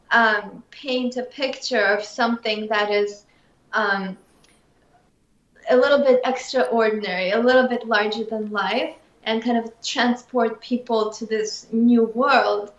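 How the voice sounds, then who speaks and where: A woman from Russia chats animatedly as an interview guest, with plenty of fillers. Her voice sits somewhat high, and the sound is a bit dull and echoes around the room. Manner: animated, laughs often, many fillers. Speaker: singer and lyricist, guest. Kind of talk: casual interview.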